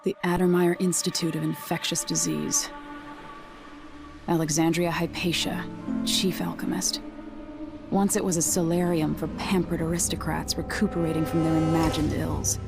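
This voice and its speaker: A guarded young outcast noblewoman in a video game, dry and resolute. Her voice is low and gravelly, with music clearly behind it. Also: flat delivery. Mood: grim resolve. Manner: dry, measured, determined. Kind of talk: low-key video game narration and dialogue.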